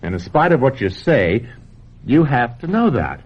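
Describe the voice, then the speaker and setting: An actor playing a manipulative, domineering older film director in a radio drama, speaking theatrically and insistently with deliberate, condescending emphasis. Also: male voice, medium pitch, very animated, husky. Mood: condescending, forceful. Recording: dull, noisy old radio drama recording.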